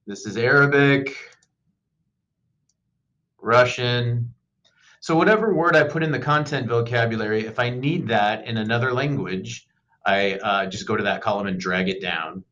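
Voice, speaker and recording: A man giving a loose, unscripted screen-recorded software tutorial as a teacher, with frequent ums and uhs. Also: medium pitch, lo-fi sound.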